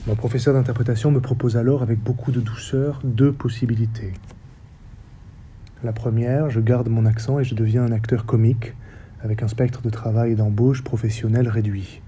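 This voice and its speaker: A man in his forties from the French Basque Country, with a faint Basque accent, giving a reflective public talk as a stage director in measured, short phrases. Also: mid-range voice, steady tone, mellow, slight background noise. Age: 40s. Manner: measured, list-like delivery with short paused phrases. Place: theatre stage or hall.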